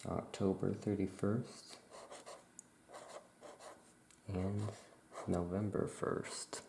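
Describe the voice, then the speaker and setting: Female, soft-spoken ASMR shopkeeper roleplay, extremely deep register, slow and murmured, with faint background noise. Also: little pitch movement, vocal fry.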